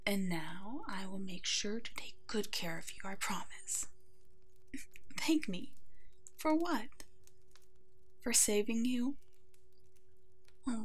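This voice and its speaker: A woman playing a kind, protective female werewolf alpha in an ASMR roleplay, speaking in a gentle, nurturing way that often slips toward a whisper. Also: fairly high pitch. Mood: tender, comforting. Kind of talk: ASMR roleplay acting, tender and soothing.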